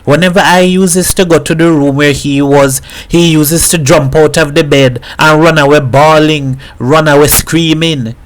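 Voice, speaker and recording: A male narrator performs a forthright middle-aged mother who speaks her mind without softening anything. Her voice is pushed up in pitch and carries loudly. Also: steady tone, a little slow, hardly any pauses, lightly acted. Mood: scolding. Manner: scolding, forthright, dialect speech. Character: blunt sharp-tongued island mother, middle-aged woman.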